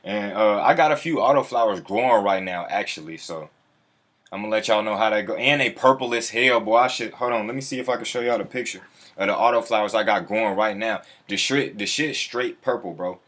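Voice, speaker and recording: Man, livestream host, low voice, relaxed slangy chat, profane, chuckles a lot. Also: a little fast.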